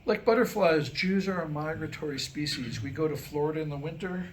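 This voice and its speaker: A man with a slightly higher-pitched voice reads a comic script aloud to a live room. He tells history in a wry, joking way, posing rhetorical questions. There is light noise on the recording. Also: brisk. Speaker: writer reading a comedic script aloud. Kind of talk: staged reading mixing comic monologue and history storytelling. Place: indoor staged reading with a few listeners reacting.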